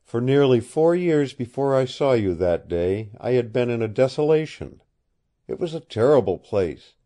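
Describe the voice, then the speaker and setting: Storybook: man voicing a tired, secretive young woman of about twenty, soft and pleading, pitched high.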